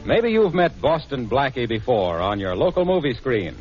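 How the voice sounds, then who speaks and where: A man's voice: medium pitch, big pitch swings, moderate pace. A man announcing a sponsored 1940s radio show with polished, emphatic scripted delivery. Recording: dull vintage 1940s radio recording.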